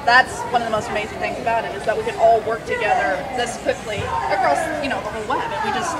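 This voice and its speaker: Loud, enthusiastic woman at an outdoor fair. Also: fairly high pitch, lively intonation, quick pace. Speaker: comics artist, panelist. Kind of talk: panel discussion answer. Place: outdoor book fair in a park. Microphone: handheld microphone held away from face. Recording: distant mic outdoors with crowd. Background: crowd noise of an outdoor fair.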